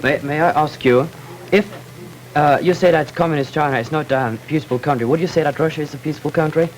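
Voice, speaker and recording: A man's voice: a little high, fast talker, a little hiss or hum, low-quality recording. A teenage boy, a student debater who argues earnestly with frequent fillers. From Norway. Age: teens. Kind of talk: panel debate.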